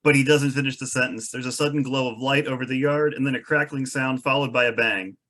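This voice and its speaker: A man narrating screenplay stage directions at a table read in a measured storytelling manner. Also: medium pitch, fairly even intonation, bright, forceful tone.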